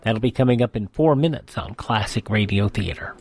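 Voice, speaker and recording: A man's voice: lowish voice, brisk, a little mellow. A man presenting a classic radio programme in a conversational host style.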